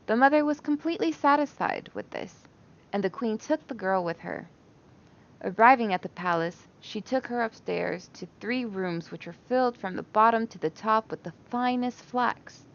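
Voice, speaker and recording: A woman's voice: fairly high pitch, moderate pace. Woman reading a bedtime story, dull sound. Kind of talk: expressive bedtime story read aloud for children.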